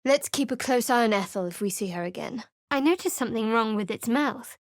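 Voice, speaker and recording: Game role: an actress as a sardonic guarded young cleric, fairly high voice, dry close studio sound. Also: female voice, expressive, fairly quick, dry recording, close to an everyday voice. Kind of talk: video game character voice lines. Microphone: close studio mic.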